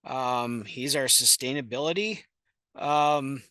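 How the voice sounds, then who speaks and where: A man hosting an online extension webinar, introducing the speaker with many ums and halting phrases. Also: medium pitch, pushed and bright, smooth, dry recording. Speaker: webinar host.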